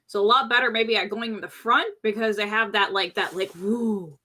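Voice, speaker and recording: A woman's voice: medium pitch, steady tone, moderate pace, bright, forceful tone. A woman chatting through a tabletop role-playing game as a player, casual, slangy and teasing as she improvises.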